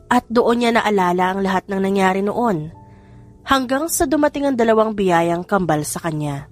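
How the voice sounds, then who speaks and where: A woman's voice: mid-range voice, expressive, normal speed. Female Filipino narrator for scripted romance audiobook, mid-range voice, music underneath. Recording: music bed behind narration. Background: background music.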